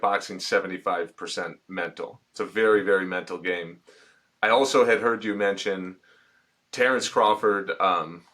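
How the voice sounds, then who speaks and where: Male interview host, lowish voice, easygoing, talks through questions with fillers. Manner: relaxed, rambling questions with many fillers.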